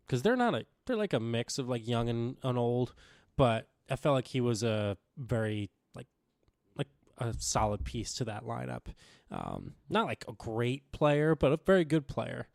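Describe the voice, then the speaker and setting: A young man co-hosting a casual sports podcast, slightly raspy from recent illness, rambling with lots of fillers and restarts. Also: mid-range voice, darkish tone, dry recording, clean studio sound. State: recovering from illness, a bit raspy. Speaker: podcast co-host.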